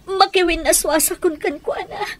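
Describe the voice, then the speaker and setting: A Filipino actress playing a troubled, pleading wife in a radio soap, plaintive and hesitant, with a Filipino accent. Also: female voice, extremely high pitch, steady tone, moderate pace, fairly bright tone. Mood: tearful, pleading. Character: troubled, pleading wife confiding in her friend.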